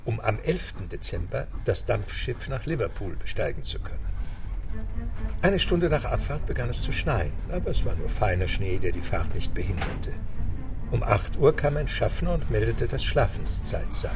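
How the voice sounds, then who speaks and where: Low, gravelly man narrating a radio play. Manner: measured storytelling. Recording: music beneath narration.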